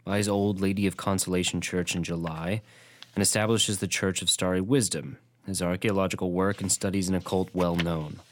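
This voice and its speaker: A man's voice: deep voice, fairly even intonation, normal speed, lightly acted. An actor playing a shaken, earnest young man in a radio drama, anxious and intense. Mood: troubled, intrigued.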